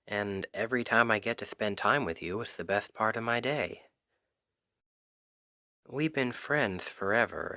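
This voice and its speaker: A man plays an earnest, lovestruck male best friend in an intimate audio roleplay. His voice sits fairly low and moves slowly, with soft, hesitant phrasing. The sound is close and dry, with very little room. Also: no room sound. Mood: nervous, tender confession. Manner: hesitant, halting, soft-spoken. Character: shy, earnest male Cupid best friend confessing love. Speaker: ASMR roleplay performer. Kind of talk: ASMR roleplay acting, intimate confession.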